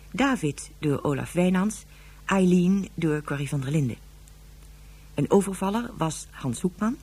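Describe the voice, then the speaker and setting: Low, husky woman announcer. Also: a little mellow. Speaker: credits announcer. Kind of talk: scripted announcement.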